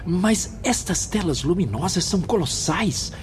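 An actor playing a polite, inquisitive newcomer spirit in a radio drama. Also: male voice, high voice, animated, a little slow. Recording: music clearly behind the voice.

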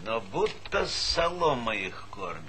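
A man's voice: medium pitch, sing-song, slow, pushed and bright, exaggerated voice. An actor playing a blunt, harried middle-aged farm chairman who grumbles and thinks aloud in a Soviet radio play. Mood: concerned, grumbling. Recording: noisy 1970s radio drama recording.

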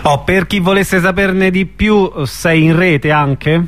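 Higher-pitched man hosting an informal radio interview. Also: slow, a bit bright, no echo.

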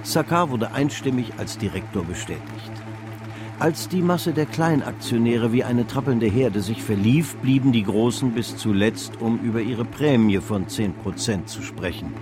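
A man's voice: mid-range voice, animated, normal speed, music in the background. A man narrating a radio drama in a literary storytelling style.

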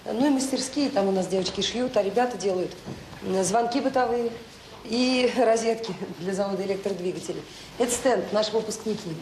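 An actress playing a warm, determined director of a children's home in a radio drama, brisk and plain-spoken with hesitant pauses. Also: female voice, medium pitch, close to an everyday voice. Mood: welcoming. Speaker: orphanage director. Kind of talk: naturalistic radio drama acting. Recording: noisy old radio play recording.

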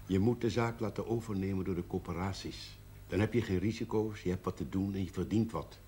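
An actor playing a stern, moralising small-town businessman of middle age in a television period drama, forceful and admonishing. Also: sits low, a little fast, lightly acted. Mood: insistent. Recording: faint noise, old television soundtrack.

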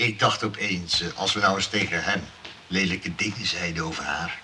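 A man's voice: sits low, moderate pace, close to an everyday voice. A man acting in a comic radio play as an earnest, wary lodger who tells things hesitantly. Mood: earnest, scheming. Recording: slightly noisy old radio play.

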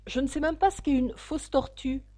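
A woman's voice: high-pitched, normal speed, warm tone, no room sound, voice pushed up, lightly acted. A woman voicing a polite, curious little girl who answers earnestly, for a storybook reading.

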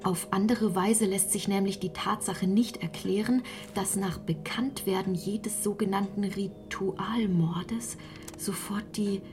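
A woman playing a solemn reader who recites a formal text in a deliberate, declamatory way. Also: medium pitch, fairly even intonation, music in the background, natural voice. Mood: solemn, insistent. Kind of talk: formal declamatory reading in radio drama.

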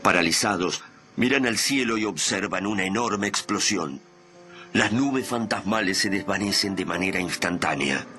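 An actor playing a grave narrator of an apocalyptic radio drama, phrasing solemnly and deliberately for suspense. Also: male voice, deep voice, close to an everyday voice. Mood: grave, ominous. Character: grave male narrator of an apocalyptic science-fiction tale. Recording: loud music bed behind voice.

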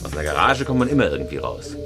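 Terse, commanding crime boss. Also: male voice, a bass voice, sing-song, racing, full character voice. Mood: tense, focused. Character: hard-boiled male gang leader, gruff and commanding. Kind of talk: crime radio drama acting. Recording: music behind the voice.

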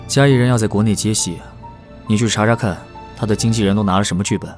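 A man's voice: on the low side, fast, faint music behind, natural voice. A man playing an ambitious young male entertainer in an audio drama. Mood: scheming, commanding.

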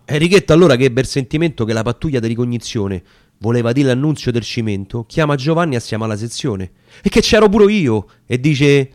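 A man's voice: mid-range voice, lively intonation, a little fast, darkish tone, dry recording. Roman man reciting verse, close and dry. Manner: theatrical, emphatic storytelling. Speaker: reader of dramatic verse. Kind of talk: dramatic radio recitation of dialect sonnets.

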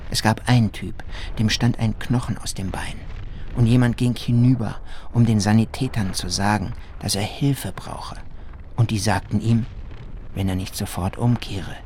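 A low-voiced husband from New Orleans played by an actor recounts surviving a flood. He is weary and indignant, in a first-person radio drama style. Faint ambient noise runs behind him. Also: darkish tone, lightly acted. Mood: urgent, outraged.

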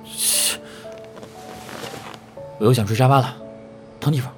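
A man playing a sharp-tongued, irritable young idol in a Chinese audio drama, curt and grumbling. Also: medium pitch, unhurried, soft background music, natural voice. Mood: sulky.

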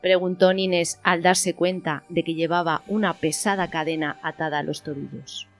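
Female storyteller, scripted radio drama narration, somewhat low voice, light music behind. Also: steady tone, normal speed.

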